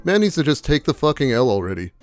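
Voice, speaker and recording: A male host reads internet posts aloud and comments on them in a dry, mocking tone, with blunt profanity. His voice sits at an ordinary middle pitch. Quiet music plays faintly underneath. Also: big pitch swings, fast. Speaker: YouTube host reading online posts.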